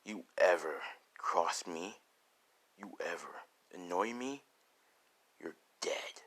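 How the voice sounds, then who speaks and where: A man voicing a ruthless, vengeful, foul-mouthed young anime student in low, breathy, hissed threats. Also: animated, slow pace, fairly bright tone, exaggerated voice. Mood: cold menace. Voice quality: breathy, half-whispered.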